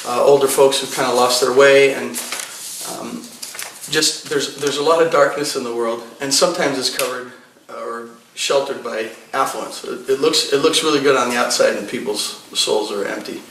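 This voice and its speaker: Earnest pastor, distant and echoey. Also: male voice, mid-range voice, fairly even intonation, normal speed, fairly bright tone. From United States. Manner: earnest, rambling, many fillers and restarts. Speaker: pastor and political candidate. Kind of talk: conversational interview answers. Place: living room of his house. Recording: echoey room, mic at a distance, faint background noise.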